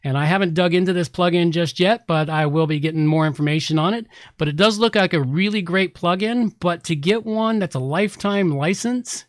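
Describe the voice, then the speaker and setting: A man's voice: high voice, brisk, hardly any pauses, dry recording, clean studio sound. A man hosting a podcast, talking casually and informally in a rambling way as he gives announcements. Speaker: podcast host.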